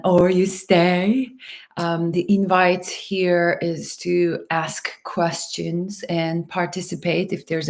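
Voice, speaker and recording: A woman from Turkey and Los Angeles hosting a casual livestream, talking to viewers in a gentle, unhurried, spiritual wellness manner. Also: lowish voice. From Turkey and Los Angeles, USA. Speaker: host, hypnotherapist and mentor.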